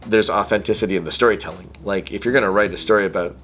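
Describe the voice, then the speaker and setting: A man with a Filipino Canadian accent, a voice actor answering interview questions in an animated, chatty way with lots of fillers. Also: fairly low pitch, a little hiss or hum. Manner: animated, chatty, quick laughs, many fillers. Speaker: voice actor guest.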